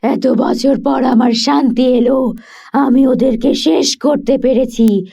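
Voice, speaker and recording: A woman voicing a cruel, boastful cartoon witch who talks in a slow, gloating, gravelly way. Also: high voice, fairly even intonation, mellow, exaggerated voice.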